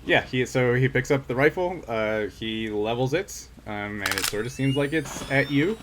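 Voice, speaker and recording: A man casually narrating a tabletop role-playing game as game master, improvising haltingly as he goes. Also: medium pitch, animated, background noise.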